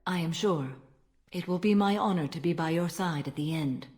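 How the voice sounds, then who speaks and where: An actress playing a stern, ancient alien warrior-monk in a video game, speaking in a formal, measured and solemn manner. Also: female voice, fairly low pitch, darkish tone, lightly acted. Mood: calm resolve. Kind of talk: dramatic video game dialogue.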